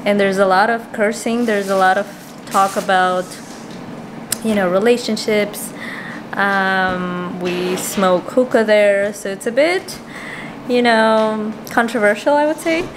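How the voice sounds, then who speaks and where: A woman's voice: mid-range voice, takes it slowly, background noise. Chatty young woman vlogging to camera. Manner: chatty, laughs between phrases, many fillers. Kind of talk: casual vlog talk to camera. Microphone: handheld camera close to the face.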